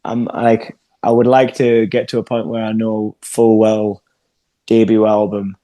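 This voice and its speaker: A man's voice: fairly low pitch, little pitch movement, a little slow, mellow, no echo. A young English man, a singer-songwriter, chatting in an interview over a video call and often restarting his sentences. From England. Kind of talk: casual interview chat.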